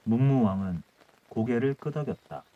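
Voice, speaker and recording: A man's voice: mid-range voice, expressive, normal speed, lo-fi sound. A man narrating a scripted historical folktale as an audiobook storyteller.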